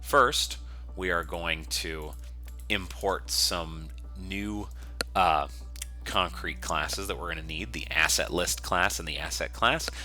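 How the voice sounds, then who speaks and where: A man giving an informal, step-by-step software tutorial as a developer walking through code. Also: low-pitched, slow, soft background music. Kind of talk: screencast coding tutorial, semi-improvised walkthrough.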